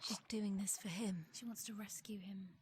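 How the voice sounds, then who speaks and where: An actress voicing an anxious, taunting voice in a warrior's head for a video game, breathy and urgent, in short repeated phrases. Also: female voice, medium pitch, steady tone, moderate pace, noisy background, close to an everyday voice. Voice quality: breathy whisper. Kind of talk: fragmented, repetitive whispered game dialogue.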